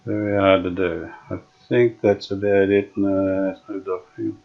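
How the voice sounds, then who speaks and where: A man restoring an old radio, thinking aloud in a halting, casual way with many pauses and fillers. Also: deep voice, steady tone, creaky, dull audio. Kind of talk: hands-on workshop demonstration.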